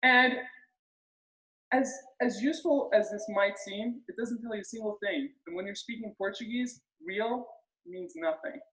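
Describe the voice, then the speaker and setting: A woman's voice: mid-range voice, normal speed, fairly bright tone. A woman teaching a group pronunciation interactively, coaching them and counting them in to repeat sounds. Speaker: language teacher. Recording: roomy, echoey sound.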